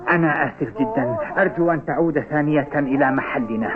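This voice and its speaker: Music plays clearly behind a tinny voice track. A man plays a determined cartoon schoolboy with a raised pitch, polite and earnest, pressing his point insistently. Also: fast, close to an everyday voice. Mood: polite, apologetic. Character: determined, earnest schoolboy who loves football. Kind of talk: dubbed cartoon acting. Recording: tinny band-limited audio with music behind.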